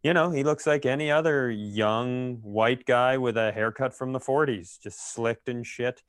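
A man's voice: mid-range voice, a little slow, clear, resonant tone, no echo, clean studio sound. A man co-hosting a comedy podcast, riffing through casual stories with exaggeration and plenty of swearing. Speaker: podcast co-host. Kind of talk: casual comedic banter and storytelling.

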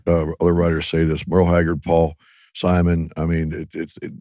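A man, a songwriter guest, talking in a relaxed, halting way with many restarts. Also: low-pitched, dry recording, clean studio sound. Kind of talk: casual conversation.

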